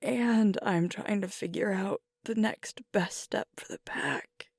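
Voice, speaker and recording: A woman playing a sweet, vulnerable alpha werewolf girlfriend in an intimate ASMR roleplay, speaking softly and hesitantly as she grieves. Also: medium pitch, no echo, clean studio sound. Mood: hurting, self-reproachful. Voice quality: soft, breathy, often whispered. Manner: slow, hesitant, confiding, with pauses.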